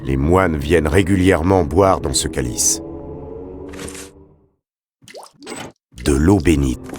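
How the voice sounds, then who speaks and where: Grave demon-hunting adventurer for gothic game; low voice, formal theatrical delivery, music underneath. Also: male voice, exaggerated voice. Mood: grave, resolute. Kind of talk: video game voice acting, narrated observations.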